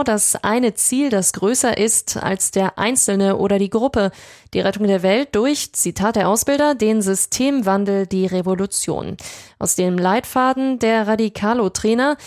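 A woman presenter reading news bulletins in a brisk, punchy scripted style. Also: mid-range voice, a little mellow, no echo, clean studio sound. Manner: brisk, punchy headline delivery.

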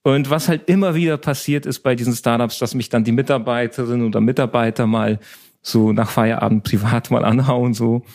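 A man with a gravelly, creaky voice at middle pitch answers interview questions. His first language is Polish, and he tells his stories in a relaxed, chatty way. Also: a little fast. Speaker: lawyer and app founder, guest.

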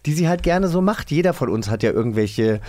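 A man's voice: fairly high pitch, expressive, a little fast, darkish tone, dry recording. A man with a German accent hosting a podcast, chatting casually and putting questions to his guest. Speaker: podcast host, interviewer. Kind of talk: casual chat.

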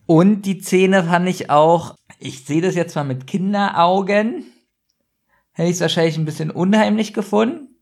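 A man's voice: high voice, moderate pace, creaky, a little mellow. A man chatting casually as a podcast co-host, often hesitant and groping for his words. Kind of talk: casual chat, reacting to a co-host.

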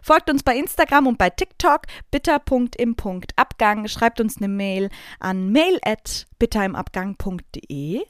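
A woman's voice: a little high, expressive, unhurried, smooth, dry recording, studio quality. A woman hosting a podcast with a casual, chatty and playful manner. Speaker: podcast host.

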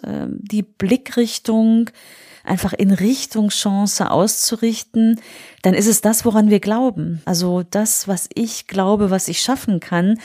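A woman's voice: medium pitch, dark tone. A woman in her forties with a cold, hosting a motivational solo podcast and speaking earnestly and encouragingly to the listener. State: has a cold. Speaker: podcast host. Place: on holiday indoors.

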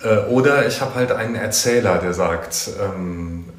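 A man's voice: fairly low pitch, a little slow. A man interviewing in a casual, conversational way, hesitantly thinking aloud as he talks. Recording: roomy, echoing room sound.

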